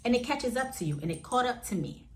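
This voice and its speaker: A woman in her forties chatting frankly to camera at home, addressing viewers as y'all and jumping up in pitch now and then. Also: fast talker, background noise. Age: 40s. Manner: lively, with sudden jumps up in pitch. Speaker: vlogger. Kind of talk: candid casual chat to camera.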